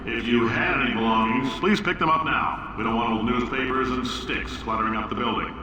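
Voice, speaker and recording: A blustering, boastful company boss, played by a man barks his announcements with blunt salesman swagger. His voice sits in a middle range for a man. Steady noise runs under the old recording. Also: medium pitch, fairly quick, close to an everyday voice, poor audio. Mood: brash. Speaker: game character.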